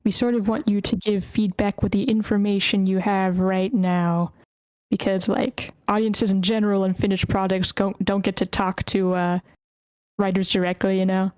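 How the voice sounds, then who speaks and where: A woman's voice: mid-range voice, flat delivery, normal speed, darkish tone, clean tone, no room sound. A woman hosting an online group feedback session, speaking as a moderator to the participants. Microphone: online voice meeting.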